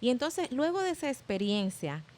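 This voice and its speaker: A woman's voice: high voice, big pitch swings, moderate pace, darkish tone. A woman hosting a faith interview, encouraging her guest and reacting with frequent exclamations. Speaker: interview host.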